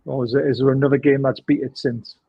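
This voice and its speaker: Geordie man, husky, on a lo-fi call. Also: mid-range voice, flat delivery, dry recording. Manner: chatty, enthusiastic, quick to laugh. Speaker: podcast co-host. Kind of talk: casual fan chat.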